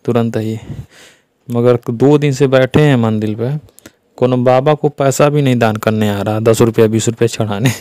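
A man's voice: mid-range voice, moderate pace, warm tone, dry recording, studio quality, close to an everyday voice. A man playing a sly flirty village priest with a Bhojpuri accent. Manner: teasing, sly, chatty. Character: flirty lazy male temple priest on a phone call. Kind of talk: scripted comic phone prank.

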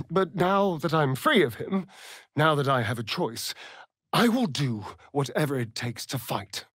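A sly vampire rogue from a fantasy video game, vain and sardonic. He drawls slowly in an arch, flamboyant way, and his pitch swoops theatrically. The recording is dry and close. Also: lively intonation, a bit bright, breathy edge, dry recording, exaggerated voice. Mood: bitter resolve.

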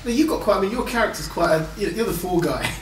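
A man's voice: sits high, animated, fast, echoey room, crowd noise. Off-camera male interviewer, distant. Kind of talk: interview questions.

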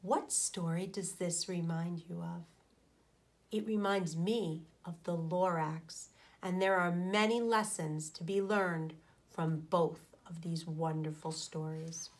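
Slow-paced woman reading aloud. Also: fairly low pitch, steady tone. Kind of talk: storybook read-aloud for children. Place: small indoor room.

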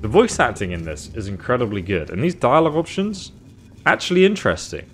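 A man's voice: mid-range voice, big pitch swings, fairly quick. A man in his thirties giving casual gameplay commentary with dry, wry humor. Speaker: video game YouTuber. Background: game music.

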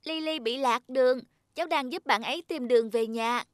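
A woman voicing an earnest, childlike little talking household object in a children's cartoon, with an expressive manner. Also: high-pitched, moderate pace, no room sound, clean studio sound, lightly acted. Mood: polite, friendly. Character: childlike talking cup and flashlight, eager, easily frightened young friends. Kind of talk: dubbed children's cartoon dialogue.

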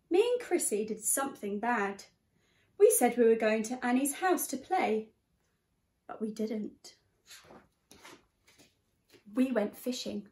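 The room rings noticeably around a young woman's fairly high voice. She reads a children's picture book aloud like a primary teacher, expressively and directed at young listeners. The microphone sits a short way off from her. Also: mellow. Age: young adult. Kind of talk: storybook read-aloud. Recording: echoey room sound.

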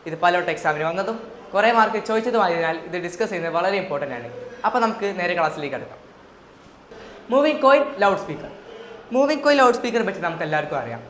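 A woman's voice: on the low side, expressive, rapid-fire. Echoey Malayali woman teaching a lesson. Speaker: physics tutor. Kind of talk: explanatory school lesson. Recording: echoey room with faint noise.

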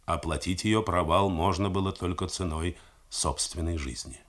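Deep-voiced man reading a novel on radio. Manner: measured, expressive reading. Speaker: reader of a novel. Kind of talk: scripted literary narration for radio.

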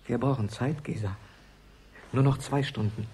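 An actor playing an urgent, secretive scientist's assistant in a radio play, earnest and persuasive, with a husky voice. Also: male voice, medium pitch, normal speed, dark tone, a little hiss or hum, natural voice. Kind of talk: German radio play acting.

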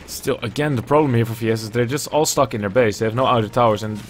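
A man's voice: mid-range voice, fairly even intonation, fast talker, a little hiss or hum. A young man with a Dutch accent, casting a video game match on his own at home in a loose, chatty way, thinking aloud and swearing now and then. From Netherlands. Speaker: esports caster. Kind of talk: solo play-by-play game commentary. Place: indoors at home. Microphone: earbuds and webcam at a desk.

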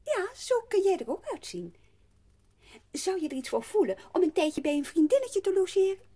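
A coaxing aunt in an audio drama. Her high voice swings extremely widely, sugary and persuasive, as she sweet-talks a child with a scheming sweetness. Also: sing-song, normal speed, dark tone. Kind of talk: Dutch audio drama acting.

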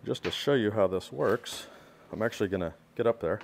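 A man's voice: lowish voice, fairly quick, creaky, a little mellow, slight background noise. A man giving a casual hands-on walkaround of equipment he built, explaining details while filming with a handheld phone in a workshop. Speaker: pressure washing skid builder.

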